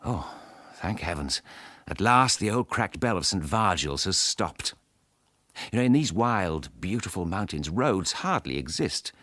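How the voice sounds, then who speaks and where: Flustered english gent in a radio play, 40s, lowish voice, a bit husky, very polite. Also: male voice, sing-song, a little slow, fairly bright tone, no room sound, studio quality, full character voice. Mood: genial, musing. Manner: courteous, earnest, hesitant. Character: polite, easily flustered English gentleman traveller of forty-two. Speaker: actor. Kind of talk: radio drama acting.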